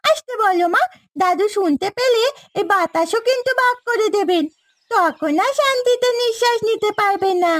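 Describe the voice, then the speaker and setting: Woman voicing a very high, cheeky little girl. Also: animated, moderate pace, no echo. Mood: cheeky teasing. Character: young granddaughter, a cheeky peacemaking little girl. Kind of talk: Bengali moral-story cartoon dubbing. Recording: faint music behind the voice.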